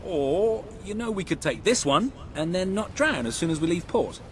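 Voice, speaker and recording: An actor with a British accent playing a cocky, irreverent, wisecracking soldier in a fantasy video game, sardonic in manner. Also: male voice, high-pitched, normal speed, faint music behind. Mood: brisk, cheeky. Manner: sardonic, animated. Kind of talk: dramatic game dialogue.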